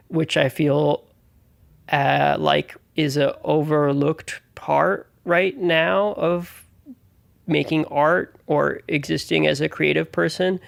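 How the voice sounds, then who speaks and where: Man, heavy vocal fry, dry close sound, reflective interview talk. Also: fairly high pitch, dry recording. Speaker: resident of a collective house, interviewee.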